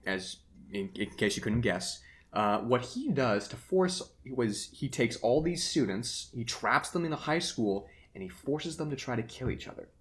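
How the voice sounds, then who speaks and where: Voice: mid-range voice, animated, normal speed, fairly bright tone. A host with a mid-range voice runs a casual chat about game characters. The delivery is informal and explanatory, often turning to the guests with questions.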